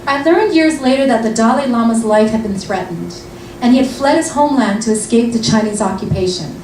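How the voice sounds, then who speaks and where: Woman host from Vancouver, chatty and filler-heavy, bright high voice, distant mic in a noisy room. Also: a little fast. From Vancouver, Canada. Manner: chatty, lots of ums and restarts. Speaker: event host and author. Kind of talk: casual event introduction and book reading. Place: lounge event room, indoors. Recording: roomy lounge, mic far off, noisy.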